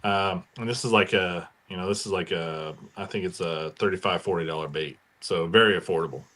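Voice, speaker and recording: American male podcast panelist, lower-pitched with a bright, forward edge, informal and keen. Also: brisk. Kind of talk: casual chat about fishing gear.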